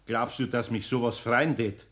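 An actor playing an older, gruff but good-natured master craftsman in a radio play, with a Bavarian accent. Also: medium pitch, steady tone, normal speed, fairly bright tone. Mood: stern, fatherly.

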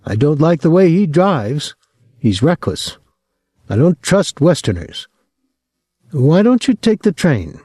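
A man's voice: medium pitch, darkish tone, no room sound, clean studio sound, voice pushed up. A man reading aloud, voicing a bitter, possessive mother near fifty who accuses and clings.

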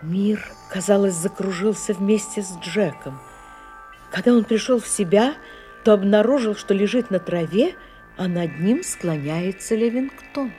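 A woman's voice: mid-range voice, a little slow, mellow, soft background music, lightly acted. A woman narrating an audio drama from a script, in a storytelling style.

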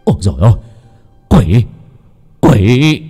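A man voices a drunken middle-aged villager in a spoken horror story. He slurs and swears with a dark, heavy voice, stumbling over his words. Long reverb hangs behind him. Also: medium pitch, sing-song, fairly quick, faint music behind. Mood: terrified. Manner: slurring, cursing, then stammering in terror.

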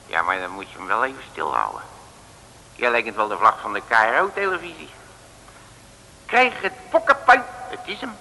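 An actor playing a boastful, bumbling detective in an old comic radio serial, pompous and full of slangy exclamations. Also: male voice, mid-range voice, very animated, moderate pace, vocal fry, pauses often, sharp tone, full character voice. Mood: cocky. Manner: pompous, colourful slangy exclamations, chuckling at himself. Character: boastful, bumbling self-styled great detective. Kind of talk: mid-century Dutch comic radio serial acting. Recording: hissy old radio recording, reverberant.

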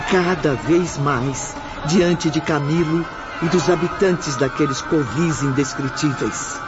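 A man narrating a radio drama in a grave, measured tone. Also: on the high side. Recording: dull lo-fi with music.

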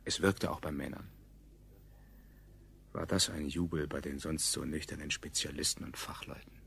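A man acting as the measured, dryly ironic narrator of a 1970s German science fiction radio play. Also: rumbling low, level tone, vocal fry, fairly bright tone, heavily acted. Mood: detached, ironic. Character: male narrator recounting a dystopian future, detached and ironic. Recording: 1970s radio recording, faint noise.

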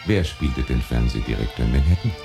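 A man's voice: a bass voice, lively intonation, brisk, creaky, warm tone, soft background music, heavily acted. An actor playing God as a terse, solemn male deity in a radio play. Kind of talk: 1980s radio play acting.

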